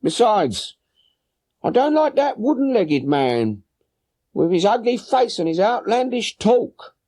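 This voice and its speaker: A man's voice: high voice, big pitch swings, slow pace, warm tone, voice pushed up, full character voice. A man voicing a chatty, flustered working-class London boatman's wife for an audiobook. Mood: worried, puzzled. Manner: chatty, deferential, plain-spoken. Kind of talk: audiobook character voice.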